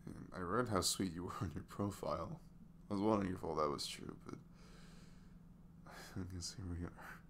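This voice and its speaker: A man playing a sweet, earnest single dad in an intimate ASMR roleplay, soft and hesitant, often dropping to a whisper. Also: sits low, moderate pace, gravelly, pauses often, noisy background. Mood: tender, grateful. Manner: hesitant, gentle, murmured.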